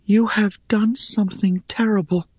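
A man's voice: high voice, fairly even intonation, moderate pace, darkish tone, dry recording, pitched above the performer's own voice. A man voicing an earnest, emotional young woman in a fantasy audiobook, with an intense, heartfelt manner. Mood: hurt, accusing. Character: earnest, emotional young female friend of the hero.

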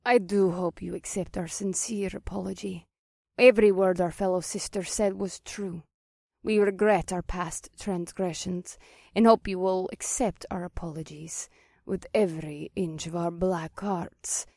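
A woman's voice: on the low side, fairly even intonation, measured pace, vocal fry, warm tone, no echo, clean studio sound. Woman acting a pleading, devoted witch. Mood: regretful, devoted. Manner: pleading, deferential. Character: apologetic, devoted female witch, meek before her master. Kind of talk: audiobook character dialogue.

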